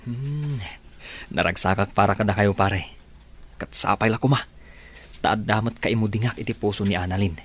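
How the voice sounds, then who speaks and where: A man's voice: fairly low pitch, normal speed, pauses often, natural voice. A man playing a hopeful, lovelorn young buddy who teases, in an Ilocano radio drama. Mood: teasing, wistful.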